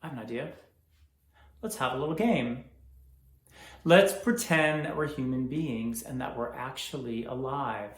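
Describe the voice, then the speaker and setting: A man's voice: mid-range voice, a little slow, long pauses, natural voice. Man acting disillusioned young man, restless, sardonic, theatrical, stage monologue, roomy echo. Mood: restless, yearning. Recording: echoey room.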